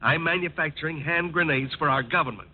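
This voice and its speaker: Actor as patronizing male plant boss, fairly high voice, curt and officious, vintage radio play, narrow tinny sound. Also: fairly quick, natural voice. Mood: stern, patronizing. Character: condescending factory-owner boss with grown sons, curtly officious. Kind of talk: 1940s radio acting. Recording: tinny, noisy 1940s radio recording.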